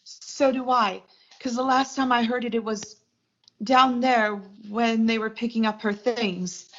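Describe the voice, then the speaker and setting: Young woman as ambitious rattled career heroine, 1940s radio suspense, highish voice, lo-fi sound. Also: steady tone, unhurried. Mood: shaken. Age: young adult.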